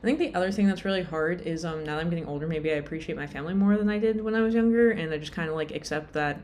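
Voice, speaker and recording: Tired young woman vlogger, low pitch, chatty and meandering, self-deprecating. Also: very fast, a little mellow, a little hiss or hum. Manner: rambling, lots of "like" and "um", self-deprecating. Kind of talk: casual rambling vlog.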